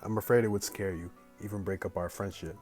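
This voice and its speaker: A man hosting a gaming video, reading a story aloud casually with slangy, animated asides. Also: low-pitched, quick pace, soft background music. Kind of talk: casual let's play, reading story aloud with excited reactions.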